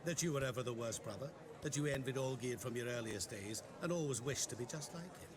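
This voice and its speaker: An actor playing a sly, all-knowing mysterious male stranger in a game cutscene, talking in a smooth, theatrical, mocking way. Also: medium pitch, quick pace, over a noisy crowd, lightly acted. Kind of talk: video game cutscene acting.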